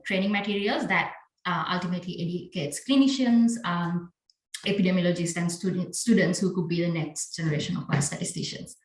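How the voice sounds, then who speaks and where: A woman's voice: lowish voice, roomy sound, poor audio. A young woman from Sri Lanka, a biostatistician, giving a friendly talk with slides and many fillers. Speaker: conference speaker, biostatistician.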